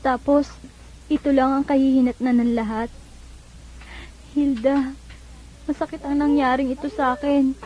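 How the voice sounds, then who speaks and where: A woman's voice: high-pitched, flat delivery, unhurried, long pauses, warm tone. An actress playing a sullen, despairing young female student in a Filipino radio drama. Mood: dejected. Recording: dull lo-fi radio drama recording.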